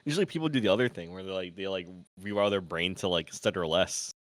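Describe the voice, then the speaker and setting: A man with a very low voice talks fast as a guest on a stream. He drops short, dry, teasing remarks into the conversation. The sound is dry. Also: expressive, dry recording. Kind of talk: casual chat.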